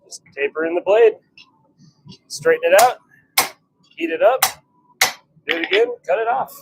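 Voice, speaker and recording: Man muttering over noisy workshop work, swinging pitch. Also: very animated, moderate pace, vocal fry. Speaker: blacksmith livestreamer. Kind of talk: casual running commentary while working. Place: workshop with a forge. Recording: noisy workshop livestream with clicks.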